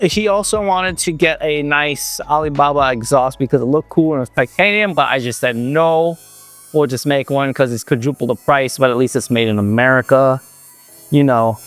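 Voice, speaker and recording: A man with a slightly high voice talks casually through a workshop build, joking in a tongue-in-cheek way. Faint music plays behind him. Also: moderate pace, fairly bright tone, no echo. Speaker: exhaust fabricator presenting a build. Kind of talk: casual vlog chat about a build.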